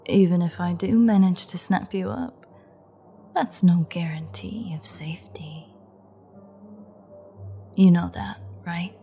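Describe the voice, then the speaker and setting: A woman playing a menacing, teasing vampire in an intimate audio drama monologue, her breathy voice often dropping to a near-whisper as she taunts. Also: lowish voice, steady tone, mellow, soft background music. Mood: wry, wistful. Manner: slow, taunting, drawling. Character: menacing, teasing female vampire gloating over captive hunter.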